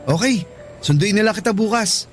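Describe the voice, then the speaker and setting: High-voiced young Filipino student suitor. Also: male voice, animated, moderate pace, faint music behind. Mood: friendly. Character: sweet, easygoing young male student suitor, George. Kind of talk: Filipino radio drama acting.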